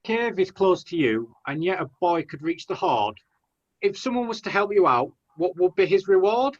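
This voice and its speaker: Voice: medium pitch, expressive, moderate pace, muffled recording, close to an everyday voice. A performer playing a poor, lovesick and timid lad, the hero of a rhyming pantomime, speaking earnest, plaintive verse. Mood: bargaining.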